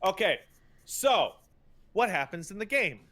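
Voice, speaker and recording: Male podcast host, fairly high voice. Emphatic, sweary, conversational rant with repeated words for stress. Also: sing-song, a bit bright. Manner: emphatic, profane, halting with repetitions and mocking paraphrases. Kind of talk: casual ranting commentary about video games.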